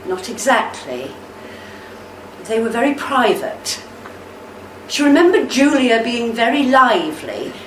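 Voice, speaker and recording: A woman playing a devoted, steadfast older army wife in a radio play, with a measured, tender delivery. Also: fairly high pitch, sing-song, fairly bright tone. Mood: measured, careful. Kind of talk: amateur radio play acting. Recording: roomy, distant mic, faint noise.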